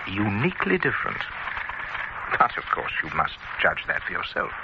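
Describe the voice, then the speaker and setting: A silky, persuasive gourmet. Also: male voice, fairly low pitch, very animated, normal speed. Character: refined older male gourmet, persuasive and knowing. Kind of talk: radio drama acting. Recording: muffled old radio recording with heavy hiss.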